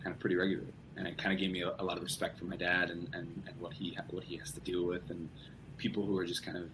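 A man's voice: low voice, completely flat delivery, very fast, noisy background. A young man as a podcast guest, talking casually in rambling sentences with many restarts. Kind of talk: casual conversation.

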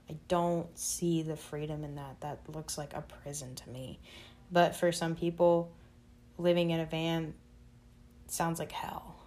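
Brief: young woman podcaster, low and level delivery, reflective spiritual musing. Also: a little mellow. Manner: searching for words, spiritual musing. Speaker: podcast host. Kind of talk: reflective solo podcast monologue.

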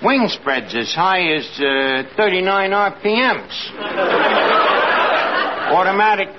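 An actor playing a gullible working-class New York tavern manager in old-time radio comedy, mangling words with bluffing confidence. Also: male voice, sits high, slow pace, some chatter behind. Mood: bluffing, confident. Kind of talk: old-time radio comedy acting before a live audience. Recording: noisy muffled vintage radio recording, loud audience. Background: live audience.

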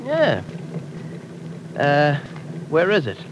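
A man's voice: on the high side, huge pitch swings, very slow, background noise, exaggerated voice. An actor playing a brash, streetwise young man who talks in slangy tough-guy wisecracks, in 1940s radio acting style. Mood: cocky.

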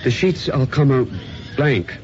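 An actor playing an anxious, flustered man who speaks haltingly in a radio drama. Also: medium pitch, loud music behind the voice, close to an everyday voice. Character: flustered, anxious male accomplice bringing strange news. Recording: muffled, noisy old radio recording.

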